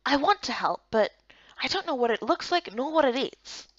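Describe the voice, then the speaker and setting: A young woman reads a story game in a theatrical, expressive way. Her voice is fairly high and very animated, captured dry and close. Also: normal speed, no echo, muffled recording. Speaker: voice actor narrating and playing the heroine. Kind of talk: expressive dramatized reading of a visual novel game.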